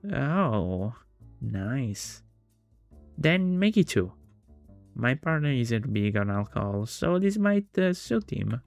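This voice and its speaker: An easygoing male cat customer, voiced by a man reading a visual novel, chatty and coaxing. The delivery is unhurried and a little creaky, over faint music. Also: medium pitch, sing-song, a little mellow, dry recording, heavily acted. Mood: breezy, friendly. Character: easygoing, friendly male feline bar customer.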